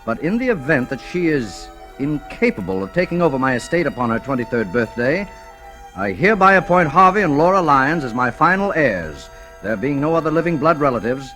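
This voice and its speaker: An actor playing a solemn older father, formally and deliberately reading a testament in old radio-drama style. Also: mid-range voice, sing-song, fairly bright tone. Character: solemn father reading out his last will. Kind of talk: vintage radio drama acting. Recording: old radio recording with music underneath. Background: music.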